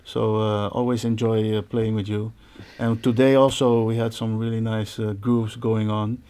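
A man's voice: on the low side, level tone, normal speed, mellow, smooth. A man in his forties with a Dutch accent, a host chatting casually with fellow musicians. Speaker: host, percussionist. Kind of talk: casual interview. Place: indoor music studio with stage setup.

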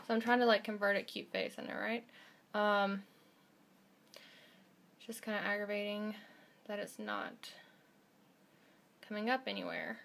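A woman's voice: medium pitch, expressive, measured pace, pauses often, fairly bright tone, a little hiss or hum. A young woman in her twenties chatting casually through her day in a home kitchen, filmed on a handheld phone camera. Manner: chatty, rambling, bubbly. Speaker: vlogger. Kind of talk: casual daily vlog chat. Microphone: phone camera at arm's length.

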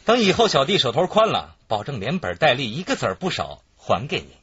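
An actor playing a gangster villain in a radio crime drama, menacing with oily mock courtesy. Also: male voice, medium pitch, huge pitch swings, fairly quick, a bit bright, husky, highs cut off, full character voice. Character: smooth-talking male gangster villain, mockingly polite and menacing.

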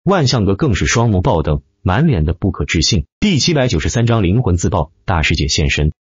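Male audiobook narrator, low and husky, theatrical scripted delivery, close dry sound. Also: sing-song, fairly quick, no echo, muffled recording. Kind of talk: dramatic scripted web-novel narration.

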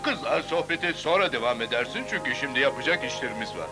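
Kindly magical dignitary male actor: mid-pitched, formal cartoon delivery with music behind. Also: animated, quick pace, natural voice. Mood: kindly, ceremonious. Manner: formal, ceremonious. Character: benevolent, ceremonious male magical official. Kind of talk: dubbed cartoon acting. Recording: cartoon dub over music.

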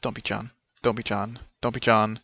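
A man's voice: on the low side, little pitch movement, normal speed, creaky, fairly bright tone, no room sound, muffled recording. A man in his thirties giving casual, wry live commentary on a game, muttering asides. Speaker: game streamer. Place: indoor room at home. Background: game music.

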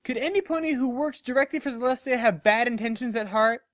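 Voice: mid-range voice, fairly even intonation, brisk, a bit bright, dry recording, studio quality, voice pushed up, lightly acted. A narrator voicing a loyal, protective female pony in a story, earnest and firm. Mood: urgent.

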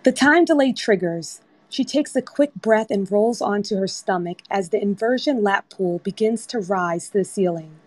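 A woman's voice: medium pitch, moderate pace, hardly any pauses, no echo. A woman reading scripted screenplay narration as the narrator of a table read. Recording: online group voice-chat app.

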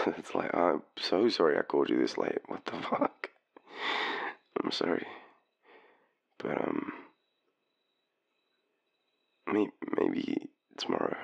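A man's voice: very deep voice, creaky, full character voice. A man with an Australian accent playing a drunk, shy, apologetic young man in an intimate boyfriend roleplay, stammering through a late-night call. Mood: apologetic, hopeful. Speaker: audio roleplay performer.

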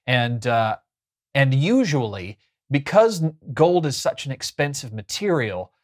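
A man's voice: mid-range voice, very animated, unhurried. A man in his thirties giving a casual, chatty product review to camera, explaining as he goes, recorded on a lapel mic indoors. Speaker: host of a pen review show. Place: small furnished room indoors.

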